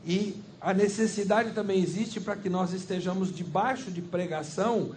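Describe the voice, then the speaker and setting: A Brazilian man with a high-pitched voice renders a sermon phrase by phrase as a live interpreter. His delivery is conversational, often rephrasing as he goes. The recording carries clear background noise. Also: moderate pace, a little mellow. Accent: Brazilian Portuguese. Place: live before a gathered audience.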